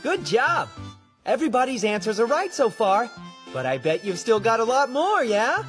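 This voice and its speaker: A woman playing an upbeat, coaxing children's show hostess who talks down to kids in a sing-song way, in animated comedy style. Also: fairly high pitch, moderate pace, over music. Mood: encouraging.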